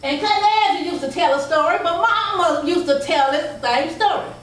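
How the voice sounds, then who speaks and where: An older woman telling family stories in a folksy style to a live audience, chuckling through drawn-out asides. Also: very high voice, fairly bright tone, a little hiss or hum. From North Carolina. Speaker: storyteller. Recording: echoey room heard from a distance.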